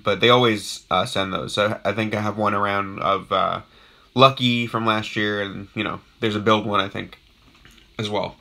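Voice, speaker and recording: A young man with a raspy, hoarse voice chats casually on a live stream, rambling with many restarts and greeting viewers. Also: on the low side, pushed and bright. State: hoarse from a sore throat. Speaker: YouTube host doing a live unboxing.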